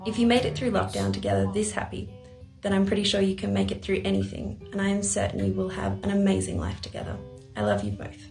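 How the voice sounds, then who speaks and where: Woman, quick delivery, vocal fry, congratulatory message, roomy echo, guitar music behind. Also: medium pitch, darkish tone. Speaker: friend giving a wedding message. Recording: echoey room under guitar music.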